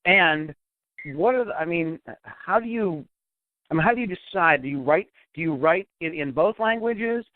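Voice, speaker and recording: Chatty host on a tinny line. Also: male voice, fairly high pitch, animated, normal speed, no echo. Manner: rambling questions with restarts. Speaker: podcast host. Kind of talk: casual interview questions. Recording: tinny phone line, dry.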